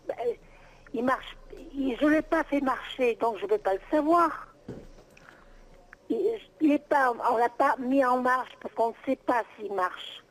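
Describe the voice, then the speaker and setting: A woman's voice: sits high, animated, measured pace. A middle-aged woman on an unscripted phone call, answering as a private seller, exasperated and insistent, repeating herself. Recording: noisy telephone call.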